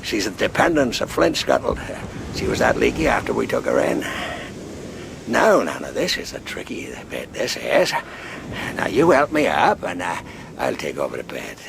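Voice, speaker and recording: A man's voice: low-pitched, huge pitch swings, moderate pace, gravelly, background noise, full character voice. A man playing a wounded, wily old pirate in a radio drama, taunting and menacing in salty seafaring dialect. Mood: commanding, wily.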